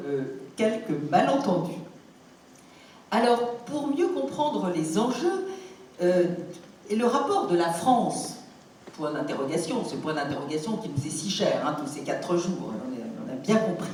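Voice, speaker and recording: A woman moderates a formal interview before an audience, her delivery expressive with strong rises on key words. Her pitch moves a lot across each phrase. She is heard through a distant mic in a very echoey hall with faint noise. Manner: formal, expressive, with rising emphases.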